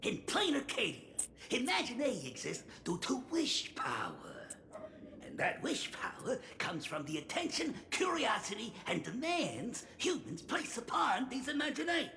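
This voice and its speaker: Scheming game crime boss, theatrical drawl, very animated pitch, roomy echo. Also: a little slow, gravelly, a little hiss or hum, exaggerated voice. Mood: shrewd, reflective. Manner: theatrical, drawling, punctuated by chuckles and loud flourishes. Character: flamboyant crime syndicate boss, theatrical, scheming, fame-hungry, sentimental. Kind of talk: animated video game voice acting.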